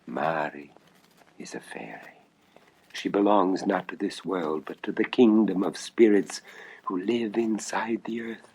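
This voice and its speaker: A man voicing an anguished, lovesick, secretive king in a storybook tale, speaking in an impassioned, halting, confessional way. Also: low-pitched, darkish tone, slightly rough, heavily acted, rough recording. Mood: hushed confession. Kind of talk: storybook audio dramatization.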